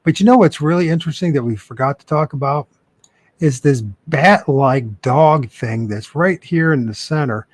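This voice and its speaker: A man's voice: on the high side, normal speed, no echo. A man co-hosting a casual live stream, joking around and laughing often. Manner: jokey, laughs often, animated. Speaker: podcast co-host.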